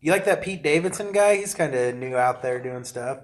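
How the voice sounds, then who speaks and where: A man's voice: mid-range voice, expressive, normal speed. A man hosting a podcast, asking questions in a laid-back, casual way and swearing freely. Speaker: podcast host.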